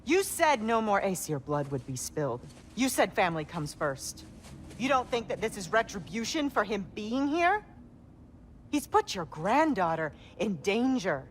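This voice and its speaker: A middle-aged actress playing a proud, grieving Norse goddess and mother in a video game, intense and measured, with music behind her. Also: medium pitch, sing-song, a little hiss or hum. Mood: accusing. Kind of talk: dramatic video game acting.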